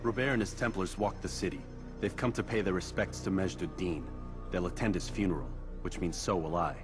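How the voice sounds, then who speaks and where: A man's voice: sits low, fairly quick, lightly acted. A man playing a grave, proud assassin in a video game, speaking tersely and earnestly over music. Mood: resolute. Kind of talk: dramatic video game dialogue.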